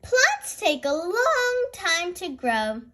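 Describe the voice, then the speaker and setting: A woman voicing a kindly female gazelle playgroup teacher from a children's storybook, gentle and instructive. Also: very high-pitched, wildly swooping pitch, very slow, pitched above the performer's own voice, exaggerated voice. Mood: encouraging, patient.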